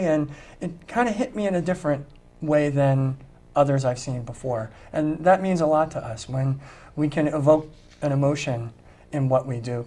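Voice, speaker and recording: Earnest tribute band musician, mid-pitched man. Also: a little mellow. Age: adult with children. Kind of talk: interview conversation.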